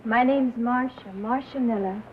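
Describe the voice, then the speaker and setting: Woman as poised young office receptionist, politely pointed, highish voice on thin vintage film audio. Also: measured pace, narrow, tinny audio, a little hiss or hum, lightly acted. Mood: poised, coy. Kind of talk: 1950s film drama dialogue. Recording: phone-thin old film soundtrack.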